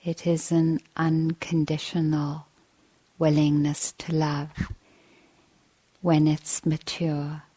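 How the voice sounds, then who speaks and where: Female meditation teacher, low and fairly even in tone, gentle, measured delivery with generous pauses. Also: breathy edge. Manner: gentle, measured, with long pauses between short phrases. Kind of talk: guided meditation dharma talk.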